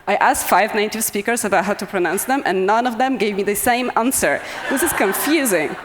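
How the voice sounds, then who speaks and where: A woman's voice: mid-range voice, fairly quick, noisy background. A young woman with a Polish accent talks to a conference audience over a microphone. She sounds cheerful and self-deprecating and laughs often. Her voice carries a long reverb from the hall. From Poland. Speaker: conference speaker. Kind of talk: conference talk.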